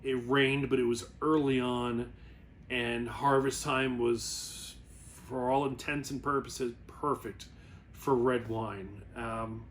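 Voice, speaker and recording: A man's voice: mid-range voice, fairly even intonation, takes it slowly, a bit bright. Man chatting informally over background noise. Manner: informal, rambling, self-correcting. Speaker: wine reviewer and host. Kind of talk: casual explainer to camera.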